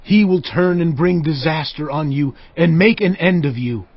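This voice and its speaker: An actor playing a stern, commanding Israelite leader, declaiming solemnly and emphatically in a dramatized audio Bible. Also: male voice, a little high, normal speed, no room sound, muffled recording. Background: music.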